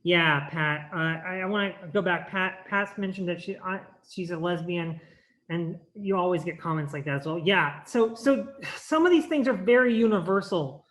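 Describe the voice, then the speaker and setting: A woman's voice: lowish voice, moderate pace. A woman giving an earnest, explanatory talk at an online conference, speaking over a video call with frequent restarts. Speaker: workshop presenter.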